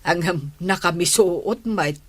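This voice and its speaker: A woman's voice: lowish voice, slow pace. A woman with an Ilocano accent playing a nosy, persuasive village neighbor in a comedy radio drama, coaxing and inquisitive.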